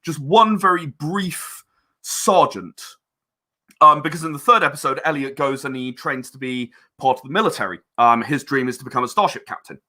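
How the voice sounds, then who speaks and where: A man speaking as a guest in a casual podcast interview, halting and rambling as he explains. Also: on the high side, sharp tone. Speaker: interview guest, audio drama producer.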